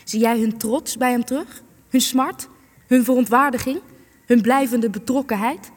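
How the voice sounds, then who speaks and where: An actress playing a sharp, cynical young female journalist in a radio play, biting and sarcastic. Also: a little high, moderate pace, close to an everyday voice. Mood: suspicious, combative. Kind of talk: radio play acting in Dutch. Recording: long hall reverb, music behind.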